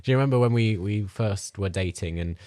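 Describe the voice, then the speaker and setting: A man's voice: lowish voice, fairly quick, a little mellow, no room sound, studio quality. A man in his thirties co-hosting a parenting podcast, chatting in an easygoing, hesitant way. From southern England. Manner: easygoing, hesitant, trails off into laughs. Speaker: podcast co-host. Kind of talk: casual chat.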